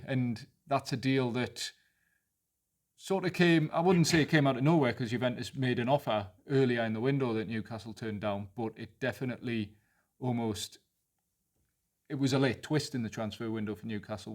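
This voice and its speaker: A man with a Geordie accent co-hosting a football podcast, talking through team news fluently and thinking aloud. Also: mid-range voice. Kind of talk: casual football analysis chat.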